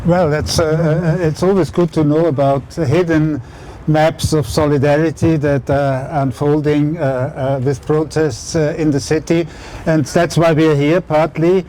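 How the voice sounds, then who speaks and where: A man moderating a public talk outdoors, halting and full of fillers. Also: fairly high pitch, steady tone, warm tone, slight background noise, low-quality recording. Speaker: event moderator. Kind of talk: public talk on a city tour. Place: outdoor city square.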